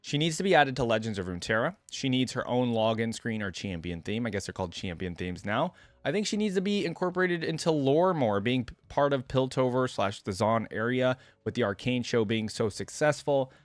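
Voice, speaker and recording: A man hosting a reaction video with casual, informal commentary, rambling and thinking out loud. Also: mid-range voice, expressive, a little fast, no room sound.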